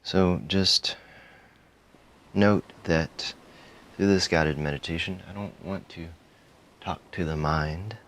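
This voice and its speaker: Very deep-voiced man guiding meditation. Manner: calm, soothing, with frequent pauses between short phrases. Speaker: meditation guide. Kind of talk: guided meditation, softly spoken instruction.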